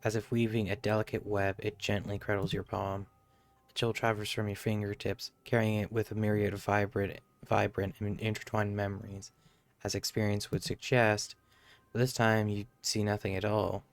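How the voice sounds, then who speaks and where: A man's voice: on the low side, flat delivery, normal speed, creaky, dry recording. A man streaming a game, giving offhand commentary and reading text aloud, sometimes stumbling over it.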